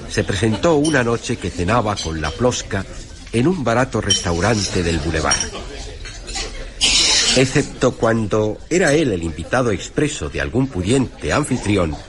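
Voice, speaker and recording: A man narrating a literary radio drama as a storyteller, with wry, formal and deliberate phrasing. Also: on the low side. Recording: music playing behind the narration.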